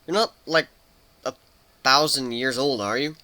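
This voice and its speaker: A man's voice: medium pitch, a little slow, sharp tone. A man playing a grumpy young male pegasus pony who mumbles and protests, in a cartoon fan fiction reading. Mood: curious.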